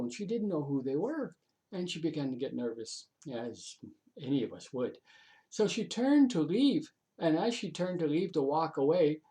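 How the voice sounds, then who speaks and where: Man storyteller with a mellow voice. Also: mid-range voice, poor audio. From Canada. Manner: halting, folksy, repeats himself. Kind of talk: informal storytelling, unscripted.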